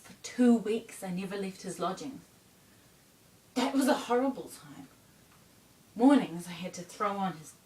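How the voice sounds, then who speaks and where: A woman performing a stage monologue as a troubled young bohemian runaway, confessing in a deliberate, haunted manner with pauses. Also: lively intonation, darkish tone, lightly acted. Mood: distressed. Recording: roomy, distant mic, faint rumble.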